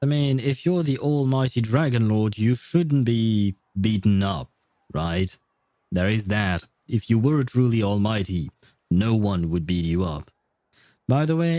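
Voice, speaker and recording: A man's voice: medium pitch, unhurried, dark tone, no echo. A young man casually commenting on his gameplay, chatty, laughing often and swearing. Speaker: game streamer.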